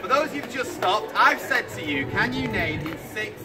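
A man's voice: high voice, animated, moderate pace, loud music behind the voice, over a noisy crowd. A man in his thirties with a British accent, preaching in the open air on a town pavement and quizzing passers-by directly. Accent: British English. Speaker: street preacher. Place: outdoors on a town-centre pavement. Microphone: far camera. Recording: distant voice with loud street noise.

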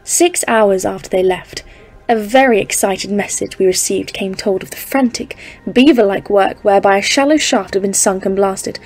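A woman playing a cautious, stern geologist leading an expedition in an audio drama, speaking in a formal, measured, literary way. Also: medium pitch, darkish tone, natural voice. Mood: tense, measured. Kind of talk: dramatised narration in an audio play.

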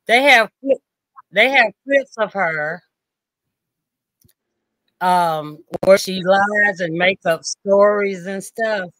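A caller on a chat show speaking haltingly and restarting often. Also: mid-range voice, sharp tone, no room sound, low-quality recording. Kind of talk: casual call-in chat.

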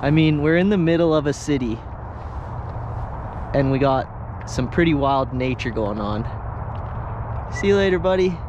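A man's voice: normal speed, long pauses, highs cut off. Young man vlogging in the wind. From Canada. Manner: chatty, coaxing the fish, explaining the rig step by step. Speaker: fishing vlogger. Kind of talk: casual outdoor vlog narration. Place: on a river outdoors in wind, fishing from a small float boat. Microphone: action camera mounted on the boat. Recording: action camera audio with wind and nearby highway noise.

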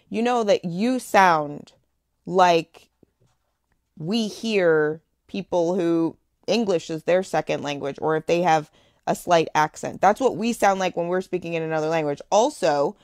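A woman's voice: on the low side, unhurried, a little mellow, no echo. Thoughtful woman radio host, dry sound. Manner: thoughtful, conversational questioning. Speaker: radio talk show host. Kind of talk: radio interview.

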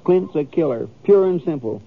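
Stubborn, pleading American homesteader, highish creaky voice, stammering, tinny vintage radio Western. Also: male voice, expressive, moderate pace. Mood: indignant. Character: anxious, stubborn family farmer of the Old West. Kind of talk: 1950s Western radio drama acting. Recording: thin, lo-fi old radio recording.

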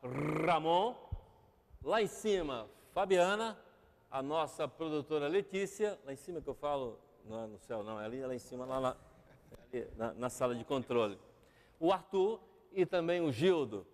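A man, hosting a TV interview in a studio, lively and chatty, often cutting in. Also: on the high side, normal speed. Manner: lively, chatty, talks over the guest. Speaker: TV show host. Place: TV studio set. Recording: long studio reverb.

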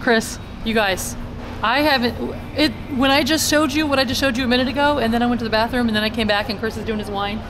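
A middle-aged woman chatting casually to viewers as a travel vlogger, in an excitable, rambling way. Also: a little high, quick pace. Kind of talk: casual vlog chat to viewers. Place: supermarket, parking lot, shuttle bus. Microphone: handheld camera at arm's length. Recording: noisy action camera on location.

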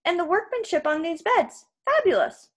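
Woman reading as a quick-witted, wary boy hero. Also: extremely high pitch, big pitch swings, pitched above the performer's own voice. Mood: falsely flattering. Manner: feigned casualness with a bully.

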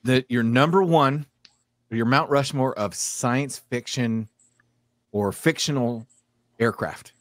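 A middle-aged American man hosting a casual podcast, swearing freely and often halting mid-sentence. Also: medium pitch, no room sound. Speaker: podcast host. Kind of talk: casual chat. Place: small room at home, on a video call.

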